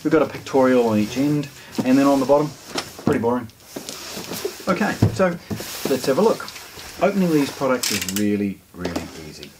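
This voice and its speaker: A man's voice: medium pitch, expressive, brisk, long pauses, background noise. A man presents a product in a casual, plain-spoken unboxing style, talking to camera indoors. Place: indoors, small showroom with shelves.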